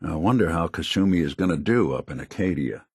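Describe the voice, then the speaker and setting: A man voicing a wry, kindly, world-weary android private detective in a video game, with a gravelly, husky voice and a laconic hardboiled delivery. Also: sits low, lively intonation, a little fast, darkish tone, no echo, clean studio sound, full character voice. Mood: somber, reflective. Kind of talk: video game character dialogue.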